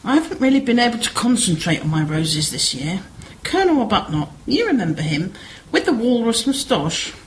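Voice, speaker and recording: A woman's voice: fairly high pitch, big pitch swings, brisk, a little hiss or hum. A woman acting a chatty, concerned village sister in an amateur radio play, gossipy and persuasive.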